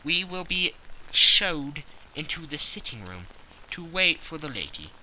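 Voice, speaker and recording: A man voicing a confident, analytical gentleman detective who explains things precisely and with self-satisfaction in an audiobook. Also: a little high, sing-song, measured pace, a little hiss or hum, exaggerated voice, low-quality recording. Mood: brisk, confident. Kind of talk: audiobook character acting.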